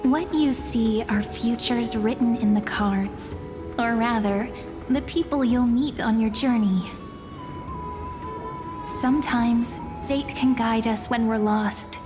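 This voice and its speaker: Calm mystic game guide, fairly high voice, unhurried, musing, music and long reverb. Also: female voice. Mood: calm, mysterious. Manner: musing, mysterious, composed. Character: enigmatic, calm female fortune-telling guide of fantasy.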